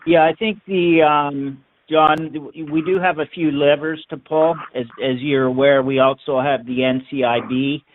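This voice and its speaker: A man speaks as a finance executive fielding questions on an earnings call. His delivery is halting, with words often repeated as he builds his answer. The sound is narrow and phone-like. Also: a little high, steady tone, slight background noise. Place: conference call. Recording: narrow, tinny phone line.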